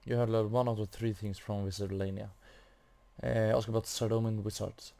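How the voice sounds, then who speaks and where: A man's voice: fairly low pitch, little pitch movement, fairly quick, gravelly, warm tone. A man giving casual commentary as he plays through a game, hesitantly thinking aloud. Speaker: gamer narrating a quest playthrough.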